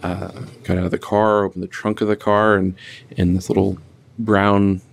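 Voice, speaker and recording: A man, a physicist, recounting an anecdote in an interview in a hesitant, deliberate way. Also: deep voice, little pitch movement, gravelly.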